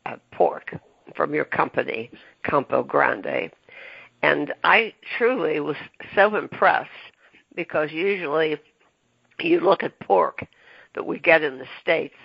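A man hosting a casual interview, talking haltingly with many ums and restarts. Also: on the high side, animated, vocal fry, husky, dry recording. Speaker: podcast host. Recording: dull lo-fi dry recording.

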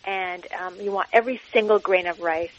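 A woman's voice: mid-range voice, fairly even intonation, brisk, dull audio. A woman from Iran, a cookbook author, speaking enthusiastically in a radio interview with frequent ums. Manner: warm, enthusiastic, many ums.

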